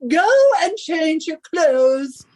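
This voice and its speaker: Shrill, bossy wife. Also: female voice, low-quality recording. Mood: scolding. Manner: nagging, scolding, emphatic, drawn-out delivery. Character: bossy henpecking British wife, shrill and imperious. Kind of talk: theatrical radio suspense acting.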